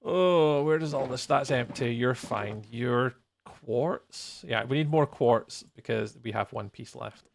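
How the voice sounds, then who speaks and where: A man's voice: mid-range voice, takes it slowly, gravelly, a little hiss or hum. A man chatting casually on a gaming livestream, thinking aloud in a playful, rambling way. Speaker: live streamer, host.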